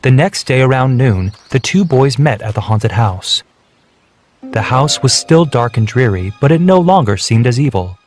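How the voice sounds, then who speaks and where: Man, storybook narrator, steady reading, mid pitch, music behind. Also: moderate pace. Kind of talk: scripted children's story narration. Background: background music.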